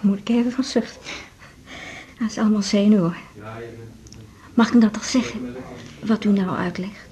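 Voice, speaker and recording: An anxious middle-aged wife played by an actress in a naturalistic radio play. Her mid-pitched voice is homely and confiding. She talks nervously and hesitantly. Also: normal speed, mellow, lo-fi sound, lightly acted. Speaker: actor in a radio drama. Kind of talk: 1980s naturalistic Dutch radio drama acting.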